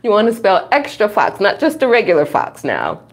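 A middle-aged woman reading a children's book aloud at home, expressive and playful, with chatty asides to young listeners. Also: medium pitch. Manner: expressive, playful, drawn-out reading, frequent chuckles and gasps. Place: small room at home.

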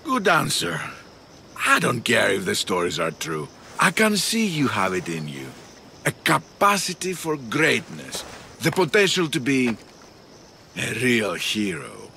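An actor playing a proud older arena patron in a video game cutscene, speaking in a measured, coaxing way with dramatic pauses. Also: male voice, medium pitch, wildly swooping pitch, creaky, sharp tone, slight background noise, heavily acted. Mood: persuasive.

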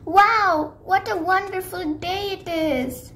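Lady doing a sweet pink crane girl from a kids story, really high voice. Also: slow pace, a little hiss or hum, exaggerated voice. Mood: cheerful. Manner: excited, high-pitched. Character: friendly pink girl crane, cheerful and caring.

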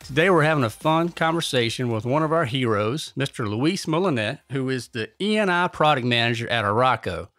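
A man's voice: medium pitch, lively intonation, a little fast, few pauses, fairly bright tone, dry recording, clean studio sound, faint music behind. A man hosting a podcast, introducing the show and chatting casually with his guest. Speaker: podcast host.

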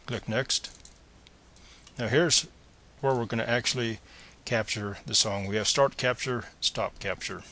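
A man's voice: medium pitch, measured pace, bright, forceful tone. A man presenting a step-by-step screencast tutorial in a plain, methodical, instructional manner.

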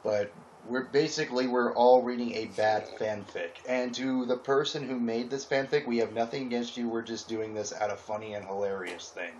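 A man's voice: medium pitch, fairly even intonation, normal speed, hardly any pauses, a little mellow, slight background noise. A man hosting a group fan fiction reading over an online voice call, talking casually with his friends. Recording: muffled lo-fi voice-call sound.

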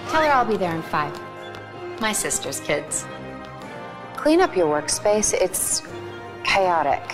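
An actress playing a busy, controlling woman who manages a park, in an animated game cutscene. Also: fairly low pitch, slow pace. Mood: brisk. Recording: music behind the voice.

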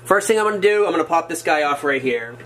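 A man's voice: on the high side, expressive, fast, fairly bright tone. Chatty man muttering through a workshop build. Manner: chatty, muttering to himself as he works, self-mocking asides. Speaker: hobbyist showing a workshop project. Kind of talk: casual how-to narration while working. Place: indoors at a wooden workbench or floor. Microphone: camera framed on his hands over the work.

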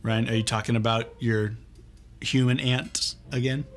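A man's voice: mid-range voice, fairly even intonation, measured pace. A man in his thirties, a children's read-aloud host, doing comic banter as the exasperated straight man. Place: small indoor studio set.

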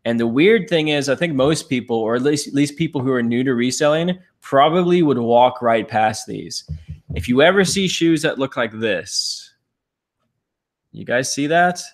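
An upbeat man in his thirties chats casually from a living room couch, holding thrift finds up to a camera set across the room. His voice sits in an ordinary middle pitch. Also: moderate pace. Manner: upbeat, shows items to camera. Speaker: reseller livestream co-host. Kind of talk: casual livestream chat. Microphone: fixed camera across the room.

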